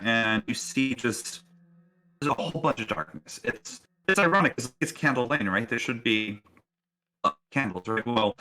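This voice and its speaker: A man narrating a tabletop roleplaying game as dungeon master, halting and repeating words as he goes. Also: mid-range voice, sharp tone, low-quality recording. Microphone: online group call. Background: music.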